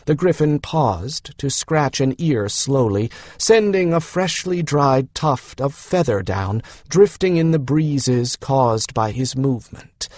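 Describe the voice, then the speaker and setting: A man narrates a fantasy novel in a measured storytelling style. His pitch is medium and his pace is slow. The sound is dry, with hardly any room in it. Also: lively intonation, a little mellow, no echo. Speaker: audiobook narrator.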